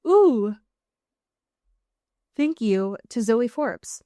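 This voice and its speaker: An American woman co-hosting a podcast in casual, comedic chat, riffing with mock exasperation and drawn-out emphasis. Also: on the high side, big pitch swings, warm tone, dry recording, studio quality. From US Pacific Northwest. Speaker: podcast co-host.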